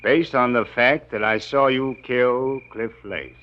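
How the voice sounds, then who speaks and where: A male actor plays a crafty American rival detective in a 1940s radio play. He speaks at a middling pitch, slangy and insinuating. The recording is dull and aged. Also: fairly even intonation, unhurried, close to an everyday voice. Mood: smug. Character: sly, scheming male rival private eye. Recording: muffled old radio recording.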